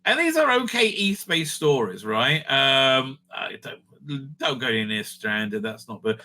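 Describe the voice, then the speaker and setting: A man's voice: mid-range voice, sing-song, slow, sharp tone, slight background noise. A man giving a casual, rambling fan review as host, blunt, opinionated and sweary, often repeating phrases for emphasis.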